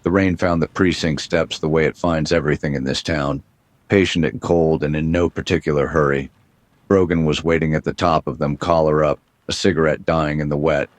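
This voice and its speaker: Cynical gumshoe for noir radio drama: rock-bottom pitch, deadpan, tired of it all, dry sound. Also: male voice, a little fast, dry recording. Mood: brooding, wry. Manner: world-weary, wry, deadpan. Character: weary hard-boiled male private detective, cynical.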